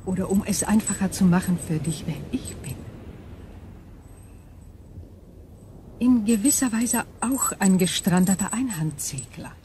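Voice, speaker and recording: An actress playing an ancient alien crystal being in a radio play, calm and coolly logical, speaking in measured, formal phrases. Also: female voice, medium pitch, a little hiss or hum, close to an everyday voice. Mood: calm, eerie. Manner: measured, formal, precise diction. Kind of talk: German radio drama acting.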